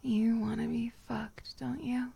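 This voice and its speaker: A woman performing a dominant, teasing audio roleplay, speaking in soft whispers broken by low murmurs. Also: level tone, a little slow, vocal fry, slightly rough.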